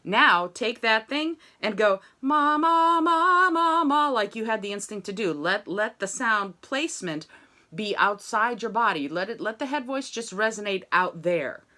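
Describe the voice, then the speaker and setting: Animated woman coaching singers. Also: a little high, measured pace. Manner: encouraging, demonstrates sung vowels. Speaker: voice teacher. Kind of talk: online singing lesson.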